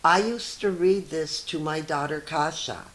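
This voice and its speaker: Slow-paced mature woman reading to children. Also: sits low, fairly bright tone. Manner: instructive, addresses young listeners directly. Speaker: teacher reading stories to children. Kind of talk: children's read-aloud with simple explanations.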